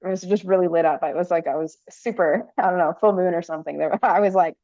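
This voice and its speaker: A woman hosting a casual conversation, her voice lowish and heard through dull, lo-fi audio. She tells anecdotes with many fillers and breaks into laughter often. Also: fast, dark tone. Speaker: podcast host.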